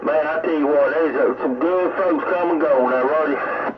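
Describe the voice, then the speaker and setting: A man's voice: a little high, normal speed, over a noisy crowd. A middle-aged or older man with a Southern US accent, chatting casually over CB radio in a folksy, rambling way. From American South. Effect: CB radio transmission. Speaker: CB radio hobbyist. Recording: tinny, narrowband CB radio with heavy static.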